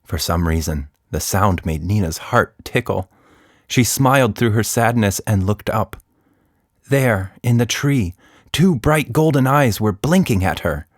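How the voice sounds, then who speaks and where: A man narrating a scripted children's story as a podcast storyteller, deliberate and expressive. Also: on the low side, dry recording, studio quality.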